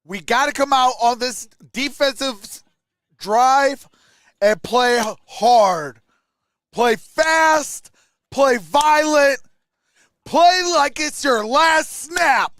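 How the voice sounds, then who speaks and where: A man's voice: very high voice, expressive, drawn-out words, a bit bright, no echo, clean studio sound. A man hosting a sports livestream, giving live play-by-play and partisan fan reaction while talking to his viewers.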